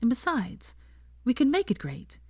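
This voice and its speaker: A woman's voice: fairly high pitch, wildly swooping pitch, normal speed, a little mellow, husky, no echo, studio quality, pitched above the performer's own voice, exaggerated voice. A woman reading aloud puts on a passionate young woman in a storybook reading, lifted well above the narration and full of earnest feeling. She pushes her words with heartfelt emphasis and a playful edge. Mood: teasing.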